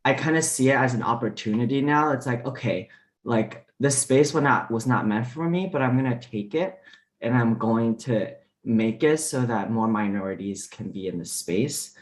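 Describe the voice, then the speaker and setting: A man whose first language is Mandarin, speaking as a diplomat on a panel discussion, relaxed and full of fillers. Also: medium pitch, normal speed. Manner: relaxed, many fillers and restarts.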